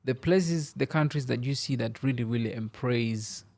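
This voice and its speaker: Gravelly man rambling as a podcast guest. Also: mid-range voice, normal speed, darkish tone. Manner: rambling, filler-heavy, many "you know"s. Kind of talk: casual interview chat. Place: podcast studio.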